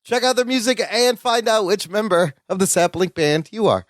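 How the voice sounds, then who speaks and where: Man, podcast co-host, high-ish lively voice, excitable, dry sound. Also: normal speed, no room sound. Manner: excitable, animated. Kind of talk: casual chat.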